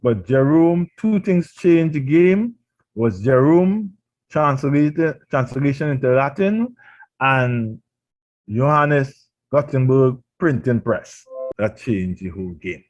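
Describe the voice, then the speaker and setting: A man's voice: medium pitch, lively intonation, takes it slowly, a little mellow, lo-fi sound. A man with a Trinidadian accent, preaching a radio sermon and pressing his points with emphatic rhetorical questions. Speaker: pastor.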